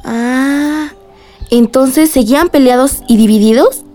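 An inquisitive young child speaks in a high voice. The manner is naive and eager to understand, as in a radio play, over faint music. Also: female voice, slow pace, warm tone. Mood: curious. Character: curious young child listening to a story. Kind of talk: radio drama acting.

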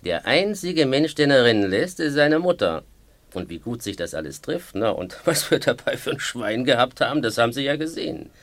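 A man's voice: medium pitch, big pitch swings, moderate pace, dry recording, lightly acted. An actor playing a smug, scheming male gang boss in a radio play, explaining things with sly mock politeness. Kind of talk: German radio play acting.

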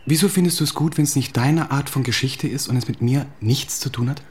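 Actor as insecure, wounded young writer. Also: male voice, mid-range voice, fairly quick, a little mellow, a little hiss or hum, close to an everyday voice. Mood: hurt, incredulous. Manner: probing, repeats words back incredulously. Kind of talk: German radio play acting.

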